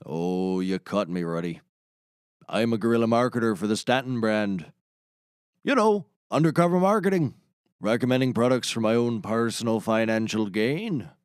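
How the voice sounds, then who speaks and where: Man acting low-voiced shady comedy sidekick. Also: moderate pace, smooth, no echo, clean studio sound, natural voice. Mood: matter-of-fact reminiscing. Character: gruff male sidekick, shady ex-mercenary turned product pitchman. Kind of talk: scripted comedy audio drama.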